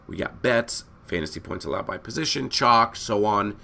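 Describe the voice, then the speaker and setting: A hoarse man who is losing his voice, hosting a casual fantasy basketball breakdown that rambles and corrects itself as he talks to viewers. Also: on the low side, expressive, normal speed, sharp tone. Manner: rambling, self-correcting, addresses viewers as guys. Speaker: fantasy sports analyst host. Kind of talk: casual screen-share breakdown.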